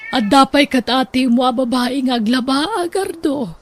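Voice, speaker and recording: An actress with an Ilocano accent playing a kindly, generous well-off employer in a radio drama. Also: female voice, sits high, moderate pace. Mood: appreciative.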